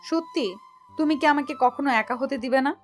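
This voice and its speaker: A woman acting a hesitant, sweetly vulnerable young woman in an audio story, speaking softly and questioningly. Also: high-pitched, moderate pace, soft background music, natural voice. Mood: sweet, coaxing. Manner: soft, plaintive, questioning.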